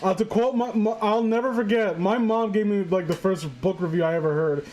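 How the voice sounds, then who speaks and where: A woman's voice: medium pitch, fairly quick, darkish tone. A woman hosting a casual comedic reading, joking crudely and irreverently as she riffs with friends. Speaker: host of a story-reading show.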